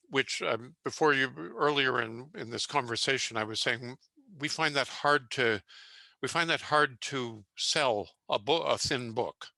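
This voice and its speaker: Older male publisher, halting and hesitant, dry close sound. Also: mid-range voice, vocal fry, bright, forceful tone, no room sound. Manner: hesitant, halting, many fillers and restarts. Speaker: publisher on a pitch panel. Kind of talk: giving feedback on a video call.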